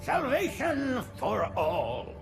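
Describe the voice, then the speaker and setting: An actor playing a frail, sanctimonious old alien priest in a video game, preaching in a florid, reverent manner. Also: male voice, extremely high pitch, huge pitch swings, very slow, vocal fry, music in the background, exaggerated voice. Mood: pious, grandiose. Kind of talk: theatrical video game acting.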